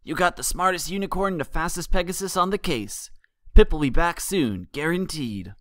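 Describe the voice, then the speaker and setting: A man's voice: fairly high pitch, lively intonation, normal speed, fairly bright tone, no room sound, clean studio sound, voice pushed up. A man voicing a bold, protective young female pegasus pony in a storybook, with a casual, reassuring manner. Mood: confident, proud.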